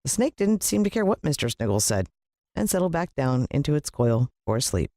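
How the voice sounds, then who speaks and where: A woman with an American accent giving a dramatic, expressive scripted narration of a children's story. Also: sits low, brisk, dry recording, clean studio sound. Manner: dramatic, playing a child's first-person point of view.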